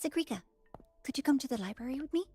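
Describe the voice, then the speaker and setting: Young student classmate, game character, mellow high voice, kinda gossipy, music behind. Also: female voice, animated, quick pace. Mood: curious. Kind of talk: video game voice acting. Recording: music under the voice.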